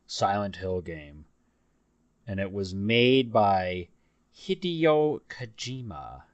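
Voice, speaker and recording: A man with a deep voice talks casually as a podcast co-host. He is informal and a bit tentative in how he puts things. The sound is dry, with no room around it. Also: sing-song, slow, dry recording, lo-fi sound. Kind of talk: casual chat about games.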